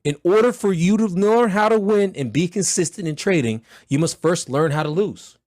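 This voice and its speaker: Hoarse man with a blunt, motivational delivery. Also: sits high, lively intonation, normal speed, no echo, clean studio sound. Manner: loud, blunt, motivational, slangy. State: hoarse, recovering from illness. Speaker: trading mentor. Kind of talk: livestreamed mentorship talk.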